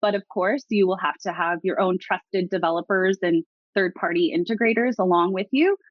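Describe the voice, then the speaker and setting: Low-voiced woman explaining software. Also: normal speed, dry recording, muffled recording. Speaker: customer success staff. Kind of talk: casual video call interview.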